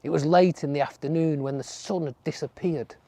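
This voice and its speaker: A storyteller narrating a folk tale for children in a gentle way, dropping to a whisper or drawing words out for effect. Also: medium pitch, fairly even intonation, brisk, dry recording.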